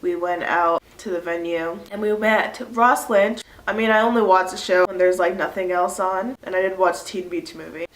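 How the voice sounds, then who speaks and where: A woman's voice: on the low side, steady tone, normal speed, hardly any pauses. Stuffy-nosed young woman chatting in an echoey room. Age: early 20s. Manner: chatty, rambling, many "like" fillers. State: stuffy nose from a cold. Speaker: vlogger. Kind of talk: casual chat to camera.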